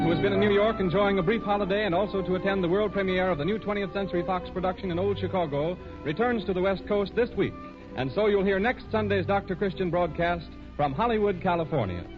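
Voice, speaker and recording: A male radio announcer reading a script in a formal, measured 1930s sales style. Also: high-pitched. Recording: muffled 1930s radio recording.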